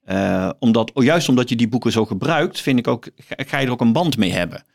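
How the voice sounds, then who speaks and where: A man talking as an interview guest, haltingly, repeating words as he searches for his phrasing. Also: mid-range voice, lively intonation, gravelly. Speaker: guest, philosophy professor. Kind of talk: conversational book interview.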